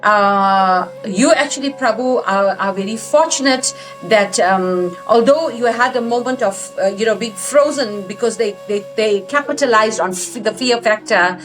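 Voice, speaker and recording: A woman with a fairly high voice addresses the audience as a talk host. She is earnest and advisory, phrasing things in a halting, measured way over faint music. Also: rough recording.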